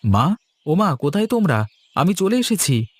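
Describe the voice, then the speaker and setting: A man's voice: fairly high pitch, big pitch swings, measured pace, breathy edge, full character voice. A man voicing a dutiful young son who has come home, in a cartoon. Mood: eager, concerned. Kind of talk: Bengali moral-tale cartoon dubbing.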